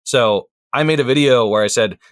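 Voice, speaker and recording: A man's voice: mid-range voice, moderate pace, no echo, studio quality. A man talking as a podcast guest, easygoing and thoughtful, with frequent fillers. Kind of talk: casual chat.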